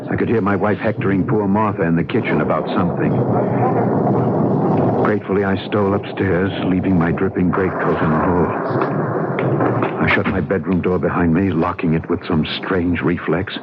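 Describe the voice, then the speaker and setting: A man's voice: low voice, steady tone, normal speed, close to an everyday voice. An actor playing a frightened, desperate husband who narrates his own horror story in a dramatic radio-drama style. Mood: tense narration. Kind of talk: dramatic first-person radio drama acting. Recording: dull, noisy old radio recording.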